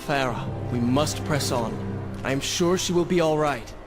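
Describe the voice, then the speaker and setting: A man's voice: on the high side, moderate pace, close to an everyday voice. A man playing a brooding, vengeful young warrior prince in a video game, with a grave, theatrical and formal delivery. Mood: resolute. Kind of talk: dramatic video game voice acting. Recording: loud game music over the voice.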